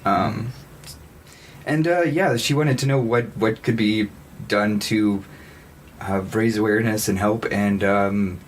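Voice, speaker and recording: A man with an American accent, a podcast co-host asking questions in a tentative, halting, casual way. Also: on the low side, a little hiss or hum, low-quality recording. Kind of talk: casual chat.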